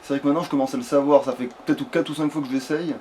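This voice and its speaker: A man with a fairly high voice commentates his video game casually. He swears and grumbles at the game in crude, familiar banter, over a noisy home recording. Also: steady tone, rapid-fire, darkish tone. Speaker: let's play gamer.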